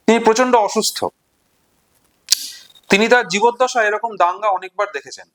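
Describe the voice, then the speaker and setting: A man giving an informal literary lecture and retelling stories, in deliberate, measured phrases broken into short segments. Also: sits high, fairly bright tone. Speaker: literature explainer and presenter.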